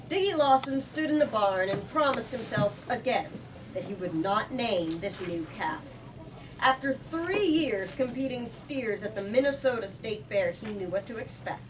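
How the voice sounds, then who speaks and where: Woman reading aloud, distant in noisy room. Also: medium pitch. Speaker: host reading book excerpts aloud. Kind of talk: expressive storybook read-aloud with discussion.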